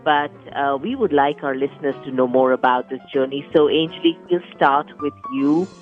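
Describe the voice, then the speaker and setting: A woman's voice: low voice, a little fast, dull audio, faint music behind. A woman hosting a radio talk show, introducing guests in a chatty way that often restarts. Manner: chatty, repeats words and restarts.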